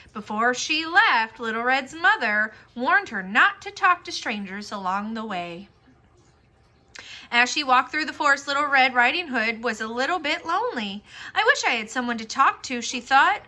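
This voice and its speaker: Bright, high-voiced woman telling a children's story. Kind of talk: children's storybook narration for a puppet show.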